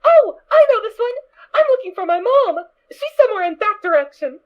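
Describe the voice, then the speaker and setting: Very high-pitched, chirpy little pony filly. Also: female voice, big pitch swings, fairly quick, lo-fi sound. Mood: cheerful, eager. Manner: chirpy, innocent, childlike. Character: cheerful naive little pony filly, a child.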